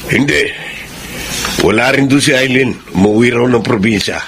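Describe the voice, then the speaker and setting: An actor playing a stern older father with a gravelly voice in a Filipino radio drama. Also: mid-range voice, moderate pace, a bit bright, slight background noise, lightly acted. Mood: angry. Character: stern older father, hot-tempered, later repentant. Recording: music bed behind.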